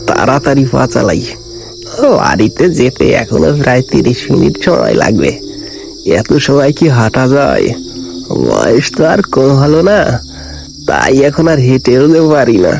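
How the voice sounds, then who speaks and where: Man voicing a creaky old village grandfather. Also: mid-range voice, wildly swooping pitch, normal speed, over music. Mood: weary, wondering. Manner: muttering to himself, folksy storytelling. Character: weary, wary old village grandfather, about seventy. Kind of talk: animated ghost story dialogue.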